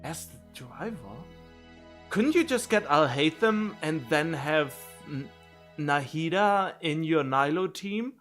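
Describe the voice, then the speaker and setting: A man with a voice a little higher than most chats casually as he streams a game. He thinks aloud, rambling and rewording himself as he goes. Faint game music plays behind him. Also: expressive, slow pace, a bit bright. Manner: rambling, self-correcting, musing. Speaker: video game livestreamer.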